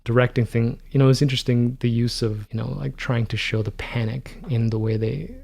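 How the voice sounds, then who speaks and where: Male podcast co-host, forties, gravelly, rambling thinker. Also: medium pitch, fairly even intonation, fairly quick, darkish tone. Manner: rambling, thinks aloud with many fillers. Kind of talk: casual film-discussion chat.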